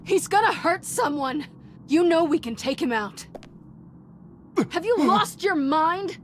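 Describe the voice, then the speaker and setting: High-voiced, tough, defiant streetwise game heroine. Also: female voice, animated, slow pace, slight background noise, heavily acted. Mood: alarmed, angry. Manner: curt, cool, challenging. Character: tough, defiant, streetwise woman who stands her ground. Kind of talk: dramatic video game voice acting.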